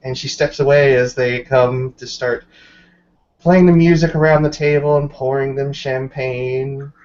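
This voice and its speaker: A man narrating a tabletop game as game master, improvising in a halting, unhurried way. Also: mid-range voice, steady tone, a little mellow, dull audio.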